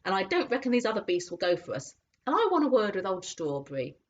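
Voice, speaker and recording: A woman voicing a rough-spoken, warm-hearted cabby who talks loudly and bluntly, in a dull lo-fi recording. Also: mid-range voice, sing-song, fast talker, voice pushed up. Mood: hearty. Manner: loud, blunt and homely.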